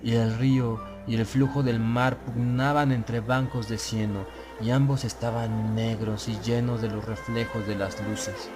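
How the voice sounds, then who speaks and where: Male storyteller for gothic horror tale. Solemn, deliberate, dramatic, with a clear musical bed. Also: medium pitch, level tone, over music. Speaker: audiobook narrator.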